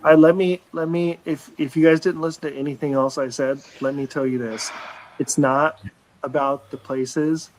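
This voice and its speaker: A man's voice: a little high, fairly even intonation, normal speed, a little hiss or hum, low-quality recording. A man in his forties talking on a live show in a rambling, earnest way, often restarting sentences and swearing casually. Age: 40s. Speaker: livestream show regular.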